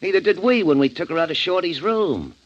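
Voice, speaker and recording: An actor playing a shrewd, cynical, slangy kidnapper in a 1940s radio crime drama. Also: male voice, sits high, animated, a little fast. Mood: smug, scheming. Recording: muffled 1940s radio recording.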